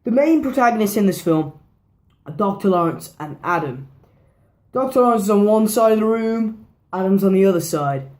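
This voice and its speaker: A woman talks through a horror film in a loose, chatty review, her voice hoarse and worn down. She rambles, restarts her sentences and drops swear words without a second thought. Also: medium pitch, expressive, normal speed, a little mellow. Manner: rambling, swears freely, repeats herself. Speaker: movie reviewer. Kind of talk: casual movie review vlog.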